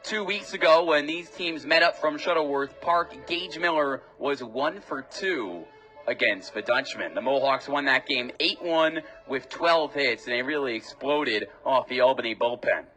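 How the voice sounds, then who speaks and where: A man's voice: mid-range voice, measured pace, pushed and bright, soft background music, low-quality recording. A young man doing live radio baseball play-by-play commentary, describing the action in short clipped phrases. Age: young adult.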